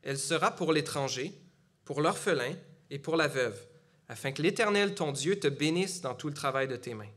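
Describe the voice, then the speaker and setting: Middle-aged man, Quebec French accent, preaching in church, chatty delivery, slightly high pitch. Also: lively intonation, normal speed, a bit bright. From Gatineau, Quebec. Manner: conversational, with frequent fillers and restarts. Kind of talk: sermon.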